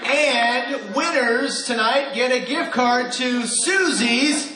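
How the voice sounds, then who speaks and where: A man's voice: extremely high pitch, takes it slowly, a loud crowd, heavy background noise. A man hosting a live radio show as announcer, with a theatrical showman's delivery before a studio audience. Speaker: host and announcer. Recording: echoey live room with audience.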